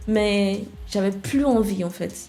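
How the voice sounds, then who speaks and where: Mellow-voiced woman from Nigeria, candid. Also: medium pitch, measured pace, soft background music. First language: English. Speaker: guest, web developer. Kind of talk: interview conversation.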